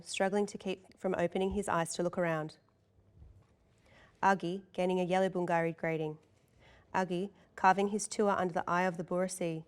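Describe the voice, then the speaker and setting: A woman's voice: fairly low pitch, clean tone. A woman reading scene directions as the narrator of a screenplay table read.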